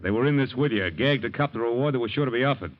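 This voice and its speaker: An actor playing a tough ex-police detective who talks in blunt, hard-boiled slang, in 1940s radio acting. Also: male voice, mid-range voice, rapid-fire, close to an everyday voice. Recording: muffled 1940s radio broadcast.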